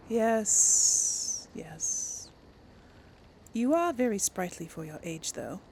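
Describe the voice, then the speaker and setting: A woman doing casual let's play commentary, musing aloud in a halting way. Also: on the high side, big pitch swings, creaky, darkish tone, a little hiss or hum. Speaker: gaming channel host.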